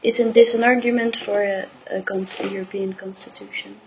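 A woman speaks in a casual group discussion, backing up the others and putting in her own questions. Her voice stays flat and even, on a dull lo-fi recording with faint noise. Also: mid-range voice, fairly quick. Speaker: discussion participant.

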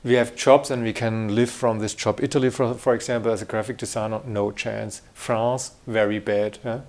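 A middle-aged German graphic designer answering interview questions in English with a German accent, hesitant and full of fillers and restarts. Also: male voice, lowish voice, flat delivery, normal speed, darkish tone.

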